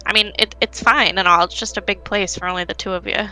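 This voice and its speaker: A woman's voice: mid-range voice, fairly even intonation, fast, sharp tone, low-quality recording. A woman voicing a busy, blunt, guarded male furry alien station worker in a visual novel, with casual speech. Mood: uneasy. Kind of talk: visual novel character reading. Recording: music under the voice.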